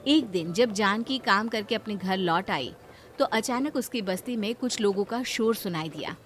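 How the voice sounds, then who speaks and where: A woman's voice: mid-range voice, lively intonation, normal speed, a bit bright, slight background noise. A woman narrator reading a scripted moral story.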